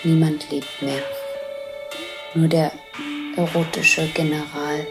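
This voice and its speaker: Low-voiced woman describing scenes over music. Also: level tone, takes it slowly. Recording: music behind the voice.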